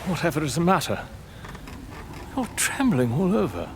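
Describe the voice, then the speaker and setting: A man's voice: on the high side, wildly swooping pitch, moderate pace, background noise. A man acting a haughty, sceptical manor lord in a period audio drama, with pompous, commanding and formal diction. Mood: concerned, indignant.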